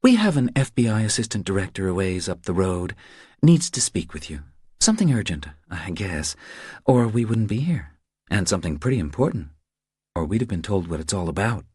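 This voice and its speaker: A courteous woman agent in an audiobook, acted out by a man. The pitch is raised and lively, and the manner is official and businesslike while staying polite. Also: a little fast, breathy edge, dry recording, clean studio sound, heavily acted. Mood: courteous, casual. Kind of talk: audiobook character dialogue.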